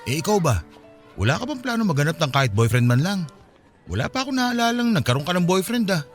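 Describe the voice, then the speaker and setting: A man's voice: high-pitched, big pitch swings, quick pace, faint music behind. An actor playing a friendly 27-year-old Filipino man in a radio drama, chatty and teasing in a casual way. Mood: teasing, curious. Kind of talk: Tagalog radio drama dialogue.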